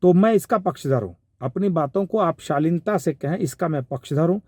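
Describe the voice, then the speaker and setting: Mellow, dark-voiced Bihari man commenting. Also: fairly high pitch, animated, normal speed, no echo, studio quality. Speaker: commentator giving his analysis of a viral call. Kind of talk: informal spoken commentary.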